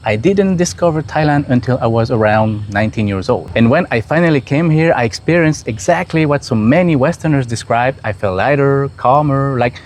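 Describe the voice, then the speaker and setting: A man from Switzerland, host of his own channel, speaks to the camera in a calm, measured way. He talks straight to the viewer in an unhurried, reflective tone, with a voice of ordinary middle pitch. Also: slight background noise.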